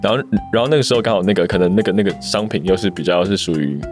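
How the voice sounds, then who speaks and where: A man with a Taiwanese Mandarin accent and a low, magnetic voice, chatting casually in a hesitant, self-deprecating way and repeating himself. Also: racing. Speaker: podcast co-host and amateur voice actor. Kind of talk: casual chat. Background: music.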